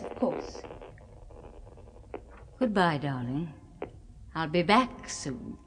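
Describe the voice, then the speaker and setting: An actress playing a coolly vengeful wife in a 1970s radio horror play, cooing condescending endearments with sweet cruelty on a noisy old recording. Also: female voice, mid-range voice, heavily acted. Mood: sweet, gloating.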